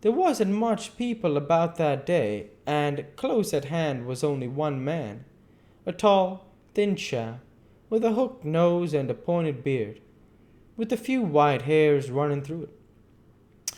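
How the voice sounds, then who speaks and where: A man's voice: on the high side, big pitch swings, takes it slowly, a little mellow. A man reading a classic novel aloud as an amateur narrator, stumbling and repeating phrases as he goes. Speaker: amateur audiobook reader.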